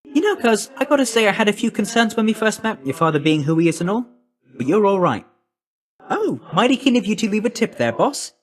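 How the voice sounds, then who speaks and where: A man voicing a brisk, cheeky young messenger god in a video game, glib, breezy and chummy. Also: high voice, sing-song. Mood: upbeat, salesman-like. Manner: glib, jaunty, chatty salesman-like patter. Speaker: video game character voice actor. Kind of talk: animated video game character dialogue.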